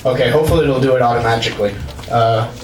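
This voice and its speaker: A man giving a casual conference talk to a live audience, loud and chatty, with many fillers and restarts. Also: medium pitch, racing, noisy background. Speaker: conference speaker. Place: large university lecture hall. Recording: echoey hall picked up from far off.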